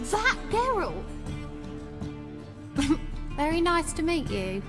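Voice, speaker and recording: An actress with a British accent playing a feisty, friendly young tavern waitress in a fantasy game, with a playful, teasing manner. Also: female voice, very high voice, sing-song, extremely slow, over music, full character voice.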